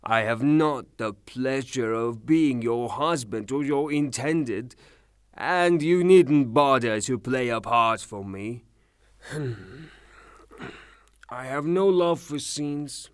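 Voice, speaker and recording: An actor playing a blunt, hot-tempered creditor in a theatrical audio drama, speaking in a mocking, brusque way. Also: male voice, mid-range voice, takes it slowly, smooth, dry recording, studio quality, close to an everyday voice. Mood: stubborn, insistent.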